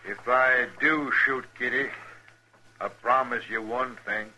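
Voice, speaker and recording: A man's voice: medium pitch, steady tone, slow, background noise, lightly acted. An actor playing a cold-blooded, taunting bank robber in a 1950s western radio drama, drawling his threats. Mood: cold menace.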